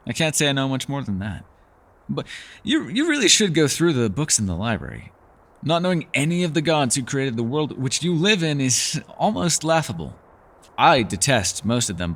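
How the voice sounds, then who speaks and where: A man's voice: mid-range voice, a bit bright. A man playing a mysterious, erudite elf in a fantasy ASMR roleplay, speaking in a playful, teasing, slightly formal way. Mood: indignant, teasing.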